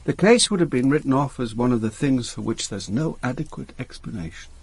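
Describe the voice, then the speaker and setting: An actor in a radio drama playing a thoughtful, guarded police inspector with a husky voice and a quiet, sympathetic manner. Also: male voice, mid-range voice, expressive, fairly quick. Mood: measured, guarded.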